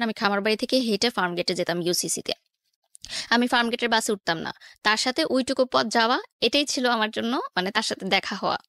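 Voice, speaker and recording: A woman's voice: medium pitch, fairly bright tone, no room sound, clean studio sound. A woman with a Bangladeshi accent telling a personal story as an interview guest, mixing in English words. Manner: code-mixes English words into speech.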